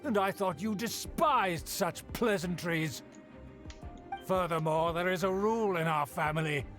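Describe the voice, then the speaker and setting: An actor playing a haughty, scheming noble uncle in a video game, pompous, stern and indignant. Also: male voice, high-pitched, a little slow, a bit bright, faint music behind. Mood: smug, disdainful. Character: haughty scheming noble uncle, proud elder of a fallen clan.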